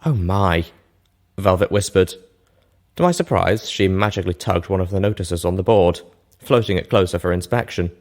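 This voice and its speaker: Deep-voiced man narrating a story. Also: a little fast, darkish tone. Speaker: narrator of a fan fiction reading show. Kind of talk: first-person scripted story narration.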